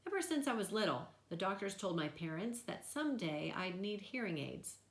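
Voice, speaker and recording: A woman giving an expressive read-aloud of a children's novel. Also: mid-range voice, normal speed, reverberant.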